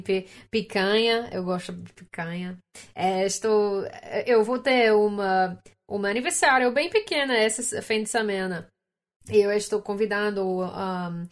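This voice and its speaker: A young woman from Canada with an English-speaking accent, talking slowly and carefully in a language she is still learning. She pauses often to hunt for the right word, her voice sitting in an ordinary middle register. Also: mid-range voice. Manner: halting learner's Portuguese, searching for words. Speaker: podcast guest. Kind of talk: casual chat.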